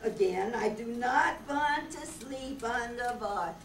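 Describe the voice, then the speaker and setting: A woman's voice: a little high, lively intonation, unhurried. A woman playing a skeptical, grumbling castaway with stilted, quaint phrasing in a staged reading of an old radio adventure. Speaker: amateur actor in a live radio-play reading. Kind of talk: 1930s children's radio adventure acting, read from script. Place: meeting room stage before seated audience. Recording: roomy, distant camera mic, faint noise.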